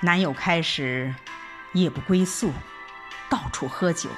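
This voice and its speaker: A woman reading a scripted first-person audio drama narration in an expressive, reflective storytelling manner. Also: fairly low pitch, takes it slowly. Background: background music.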